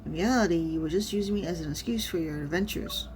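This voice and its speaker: A woman streamer reading a game story aloud and reacting casually, in an expressive manner. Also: on the low side, fast, soft background music, rough recording.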